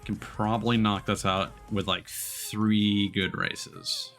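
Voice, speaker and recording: A man with a fairly low voice commentates casually on a racing game as he streams it. He thinks aloud in a halting, conversational way. Game music plays clearly behind him. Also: huge pitch swings, a bit bright. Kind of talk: casual live gameplay commentary. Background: game music and vehicle sounds.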